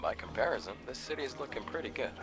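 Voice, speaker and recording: A man's voice: medium pitch, expressive, fast talker, close to an everyday voice, rough recording. An actor playing a helpful, knowledgeable male scavenger companion in a sci-fi video game. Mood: informative. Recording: game dialogue buried under loud music.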